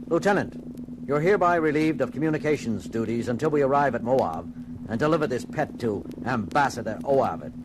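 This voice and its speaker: An actor playing a bold, commanding starship captain in a theatrical science fiction audio drama. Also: male voice, mid-range voice, lively intonation, fairly quick, background noise, lightly acted. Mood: assured, dry. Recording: loud music behind the voice. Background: dramatic music.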